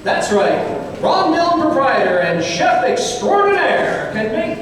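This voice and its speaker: A man's voice: very high-pitched, big pitch swings, slow, fairly bright tone. A man hosting an old-time radio show, reading a sponsor message as a folksy announcer. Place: live stage performance in a hall. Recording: very echoey hall, distant mic, faint noise.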